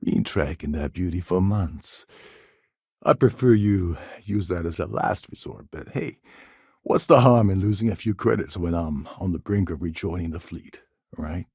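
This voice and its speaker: An actor playing a gruff, cynical, self-serving space pirate in a video game, with a gravelly voice and a wry, sardonic, world-weary manner. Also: male voice, low-pitched, lively intonation, normal speed, darkish tone, no room sound, clean studio sound, heavily acted. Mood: sardonic, impatient. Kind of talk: game character dialogue lines.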